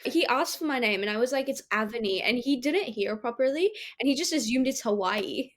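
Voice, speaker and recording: A young woman born in India who grew up in Australia, co-hosting a podcast in a relaxed, easy-laughing chat. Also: on the high side, brisk. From India, raised in Australia. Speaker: podcast co-host. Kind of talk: casual chat.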